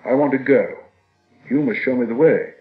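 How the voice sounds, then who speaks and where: A man's voice: mid-range voice, moderate pace, echoey room, narrow, tinny audio, faint music behind, pitched above the performer's own voice, lightly acted. A man voicing a nervous but plucky storybook hobbit who tries to sound bold, in short, firm exclamations. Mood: firm, demanding. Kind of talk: storybook character voice.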